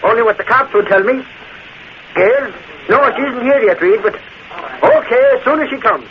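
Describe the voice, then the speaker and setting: Blustering, high-pitched excitable newsman. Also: normal speed. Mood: grumbling. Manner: blustery, indignant, folksy exclamations. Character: blustering, excitable, suspicious male newspaper reporter and ex-policeman. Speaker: newspaper reporter. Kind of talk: 1940s radio drama acting. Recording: noisy, muffled 1940s radio recording.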